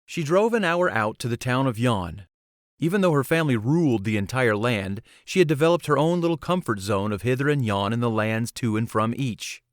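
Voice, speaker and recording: A man's voice: mid-range voice, expressive, brisk, no room sound. Man narrating a storybook, very dry recording. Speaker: audiobook narrator and author.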